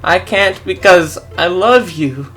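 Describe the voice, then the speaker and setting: A fan reader voicing a young woman hero in a story reading, earnest and heartbroken, lines pitched up above their own voice. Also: expressive, slow, fairly bright tone, faint music behind. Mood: pleading.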